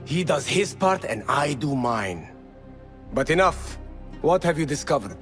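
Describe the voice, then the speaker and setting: An actor playing a defiant, hardened rebel leader in a video game, with a blunt, commanding, formal delivery. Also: male voice, on the high side, lively intonation, unhurried, a bit bright, faint music behind. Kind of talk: dramatic video game cutscene.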